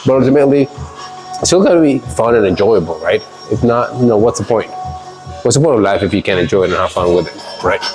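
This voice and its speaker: A man's voice: lowish voice, fast, over music. A man with a stuffy, congested voice talking casually through a workout, rambling with fillers. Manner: relaxed, rambling, with fillers and laughs. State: congested from a cold. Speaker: fitness vlogger. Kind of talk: casual workout vlog talk.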